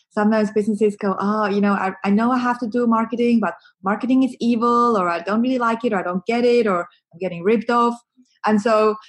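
A woman with a Swiss accent talking animatedly as a podcast guest, chatty and quick to laugh. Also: medium pitch. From Switzerland. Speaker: podcast guest, marketing agency founder. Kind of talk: conversational interview.

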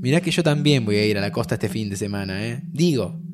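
Actor as teasing young Argentine man, lively voice. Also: fairly low pitch, a little fast, a crowd in the background, close to an everyday voice. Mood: playful. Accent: Argentine, Rioplatense. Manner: casual, teasing. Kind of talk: naturalistic audio fiction dialogue.

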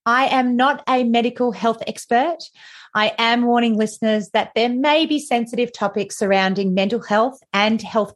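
Chatty woman podcast host, higher voice. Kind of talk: podcast interview.

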